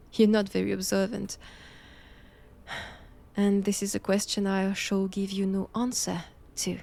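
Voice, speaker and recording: A woman with a French accent playing a cold, haughty nun in an intimate roleplay audio drama, speaking deliberately and often dropping toward a whisper. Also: medium pitch, flat delivery, vocal fry, a little mellow. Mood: disdainful. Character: cold, imperious nun examining a captive vampire.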